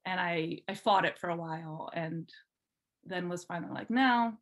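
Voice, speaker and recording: A woman's voice: fairly low pitch, normal speed. Chatty woman, casual storyteller. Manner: chatty and informal, peppered with "like". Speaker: podcast guest, fan fiction writer. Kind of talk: casual chat, telling personal story.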